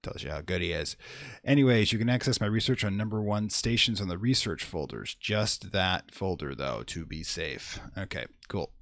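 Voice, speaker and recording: A man's voice: sits low, expressive, moderate pace, gravelly, fairly bright tone, no echo. A man hosting a gaming video, chatting casually and rambling as he thinks aloud during his let's play commentary.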